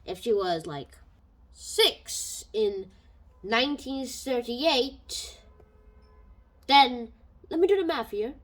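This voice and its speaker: Chatty woman with a lively, rambling voice. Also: on the high side, takes it slowly, pauses often, poor audio. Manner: rambling, thinks aloud with fillers and restarts. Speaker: book reviewer. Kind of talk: casual spoken book review. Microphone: home microphone.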